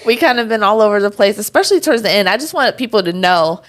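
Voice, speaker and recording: A woman's voice: medium pitch, expressive, quick pace. A young woman co-hosting a podcast in casual chat, talking loosely with lots of fillers and frequent laughs. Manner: laughs often, chatty with many fillers. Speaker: podcast co-host.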